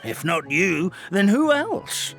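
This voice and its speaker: A kindly old forest grandfather, stammering gently as he speaks. His bright voice sits a little high and swings wildly up and down in pitch. Soft game music plays behind. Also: sing-song, slow pace, heavily acted. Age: older. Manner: stammering, wistful, fond. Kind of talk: game cutscene voice acting.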